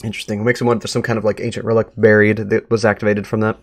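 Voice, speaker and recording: A man's voice: on the low side, flat delivery, rapid-fire, a little hiss or hum. A man doing a casual live reaction, musing informally and chuckling as he reads and comments. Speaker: streamer reacting to a horror web series.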